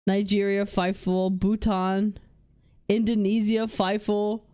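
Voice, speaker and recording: A young woman hosting a casual livestream, reading chat and lists aloud, blunt and swearing freely as she thinks out loud. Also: medium pitch, flat delivery, slow. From United Kingdom. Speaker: livestream host.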